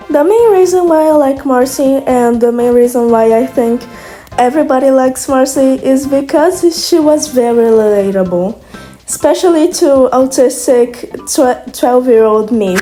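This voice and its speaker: A woman's voice: high-pitched, moderate pace, dark tone, soft background music. A young woman from Brazil whose first language is Portuguese, chatting casually in a rambling, hesitant way with many restarts. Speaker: video creator. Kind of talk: casual monologue.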